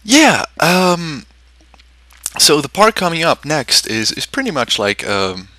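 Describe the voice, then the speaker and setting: A young man from Switzerland, giving casual commentary over his own gameplay and rambling with frequent pauses. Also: a little high, big pitch swings, sharp tone, no room sound. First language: German. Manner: rambling, many ums and restarts. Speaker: game video creator.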